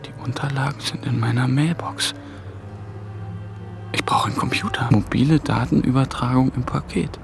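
An actor playing an ambitious young man on the run in a radio drama, muttering to himself in breathy fragments. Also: medium pitch, fairly even intonation, normal speed, in a whisper, faint music behind, lightly acted. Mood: panicked, muttering. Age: young adult. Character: ambitious young German intern on the run, panicked, improvising. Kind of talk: radio drama acting with inner monologue.

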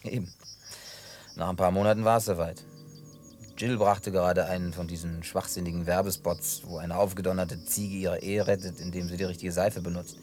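An actor dubbing a man who tells a long story in a laid-back way with gruff humor. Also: low-pitched, flat delivery, moderate pace, darkish tone, faint music behind, natural voice. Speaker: lead character in a dubbed film. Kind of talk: dubbed film acting.

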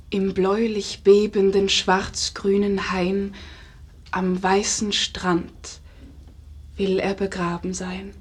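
Stately, slow-spoken painter's model. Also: female voice, mid-range voice, darkish tone, breathy edge. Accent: standard stage German. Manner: stately, lyrical. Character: solemn, graceful young woman, painter's model. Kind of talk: 1950s German radio verse drama, declamation.

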